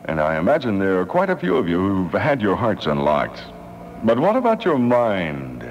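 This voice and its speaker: A man's voice: on the low side, huge pitch swings, normal speed, slight background noise. A man narrating a hip radio commercial in the style of 1970, as an announcer. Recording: dull vintage AM radio recording. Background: music.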